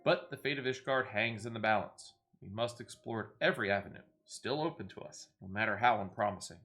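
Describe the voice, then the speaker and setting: Man reading as a bright-toned, grave knightly envoy. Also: on the low side, a little fast. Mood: formal, pleading. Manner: stately, urgent archaic speech. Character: grave, proud knightly envoy from a besieged fantasy city.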